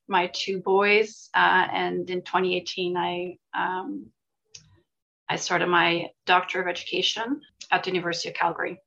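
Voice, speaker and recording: A woman's voice: fairly low pitch, vocal fry, a bit bright, lo-fi sound. A middle-aged woman from Switzerland answering interview questions thoughtfully, with frequent fillers and restarts. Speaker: guest, volunteer charity leader.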